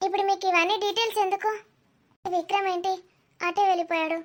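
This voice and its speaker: A woman's voice: very high voice, fairly even intonation, fast talker. A woman playing a young city visitor in a comedy cartoon, polite and questioning, puzzled, reserved and slightly impatient.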